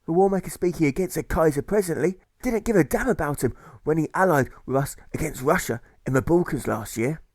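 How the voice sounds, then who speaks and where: A fiery anti-war orator acted out by a male audiobook reader, pitched high and declaiming loudly. He rallies working people with rousing, indignant force, in a dry recording. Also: no room sound. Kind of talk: political rally speech in a rhyming audiobook.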